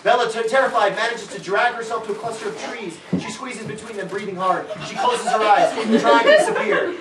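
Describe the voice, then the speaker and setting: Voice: mid-range voice, expressive, brisk, a loud crowd. A narrator reading stage directions in a hammy, mock-dramatic way, with comic asides. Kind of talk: theatrical comedic script narration with asides. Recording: distant mic, echoey room, loud audience.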